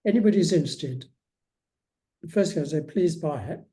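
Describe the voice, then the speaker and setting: A man's voice: fairly high pitch, animated, fairly quick, mellow. A man speaking as a guest author in a casual interview, often hesitating and restarting his phrases.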